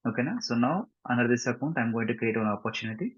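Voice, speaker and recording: A man with a Telugu Indian accent giving a step-by-step software tutorial, mixing in English and often checking understanding with short tag questions. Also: mid-range voice, slow pace, husky. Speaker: software instructor. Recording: muffled lo-fi mic, roomy echo.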